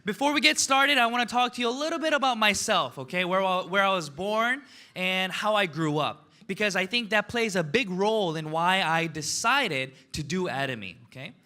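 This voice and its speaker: A man in his thirties giving an energetic motivational talk on stage, asking the crowd questions and tagging lines with "right?" and "okay?". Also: high voice, moderate pace. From United States. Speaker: motivational speaker.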